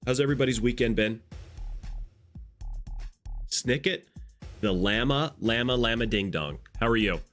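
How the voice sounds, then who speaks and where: A man's voice: medium pitch, a little slow, long pauses, a bit bright, faint music behind. A congested man hosting a casual live stream, greeting chat viewers by name and chatting in long, rambling asides. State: congested nose.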